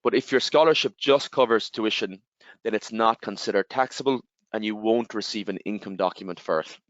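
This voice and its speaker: A man with an Irish accent, giving a webinar presentation and explaining step by step, with steady fillers and repeated "again". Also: on the low side, a little fast, dry recording. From Ireland. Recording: slightly dull, dry webinar audio.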